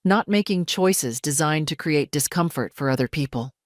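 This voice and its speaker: A scheming daughter-in-law voiced by a woman narrator talks with deliberate care and condescension. Menace sits under her smooth veneer, in a dry, close audio-drama sound. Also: low voice, animated, no echo. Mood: falsely warm.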